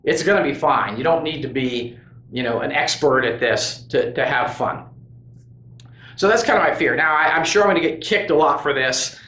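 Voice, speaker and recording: Man, channel host, casual monologue, slightly high voice, lots of restarts, echoey room. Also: normal speed, pushed and bright. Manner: rambling, earnest, with many restarts and "you know". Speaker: host of a tabletop gaming crafts channel. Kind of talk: casual opinion monologue to camera.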